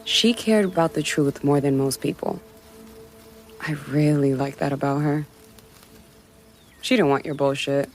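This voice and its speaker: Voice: medium pitch, lightly acted. An actor playing an earnest, grieving friend in an audio drama, speaking plainly and from the heart over music. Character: earnest, grieving friend remembering a beloved girl. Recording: music under the voice.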